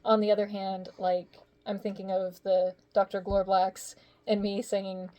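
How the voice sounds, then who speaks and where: A woman's voice: medium pitch, little pitch movement, measured pace, warm tone. A woman voice actor chatting casually on a video call, thinking aloud about recording her lines. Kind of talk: casual group interview.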